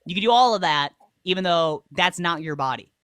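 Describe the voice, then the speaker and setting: A man hosting a livestream, firing pointed questions at a caller. He talks fast and pushes hard on every answer. The sound is close and dry, with no room around it. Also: high voice, lively intonation, a bit bright, clean tone, dry recording. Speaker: livestream host. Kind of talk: debate with a caller.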